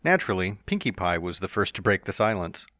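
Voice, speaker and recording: A man's voice: deep voice, sing-song, a little fast, bright, forceful tone, no room sound, clean studio sound. A man reading a fan story aloud as narrator, with playful, smug first-person asides.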